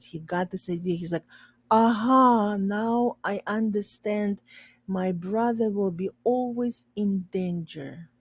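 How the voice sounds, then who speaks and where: A woman teacher reading a storybook aloud, pausing to explain and rephrase words simply for learners. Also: medium pitch, mellow, slightly rough, no room sound.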